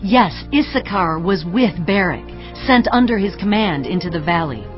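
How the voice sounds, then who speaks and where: A woman's voice: fairly low pitch, expressive, normal speed, highs cut off, lightly acted. Solemn, commanding prophetess played by a woman, music behind. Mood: exalted, declaiming. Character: authoritative female prophet and leader, solemn, exhorting. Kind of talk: dramatized audio Bible acting, declamatory.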